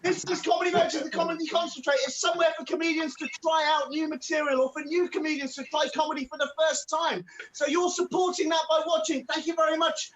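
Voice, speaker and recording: A man hosting an online comedy open mic, loudly urging viewers to send messages and welcoming each act. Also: very high voice, level tone, fast talker, hardly any pauses, recorded from a distance, low-quality recording. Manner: loud, hyped-up audience urging. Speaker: comedy show host.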